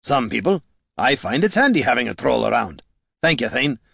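A man voicing a blunt, stubborn, warm-hearted veteran fantasy hero with emphatic, rustic dialect speech. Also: medium pitch, sing-song, normal speed, creaky, husky, dry recording, clean studio sound, full character voice. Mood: gruff, businesslike.

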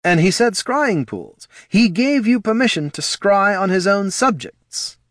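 A challenging fantasy hero , voiced by a male narrator, who presses his point insistently. His voice is lifted above the narration with lively inflection, recorded dry for an audiobook. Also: high voice, measured pace, no room sound, pitched above the performer's own voice, heavily acted. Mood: accusing.

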